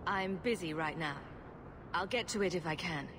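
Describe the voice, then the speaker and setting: A woman's voice: fairly low pitch, moderate pace, noisy background, lightly acted. A woman playing a bold, sarcastic mercenary heroine in a video game, with a wry, cocky manner. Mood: brisk, challenging. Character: bold, sarcastic adult female mercenary adventurer.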